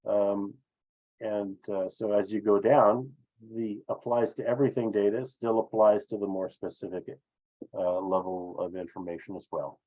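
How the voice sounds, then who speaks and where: Low-voiced man presenting, slightly muffled audio. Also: steady tone, moderate pace. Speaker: webinar presenter. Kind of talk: explanatory business webinar with slides. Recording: dull, lo-fi webinar audio.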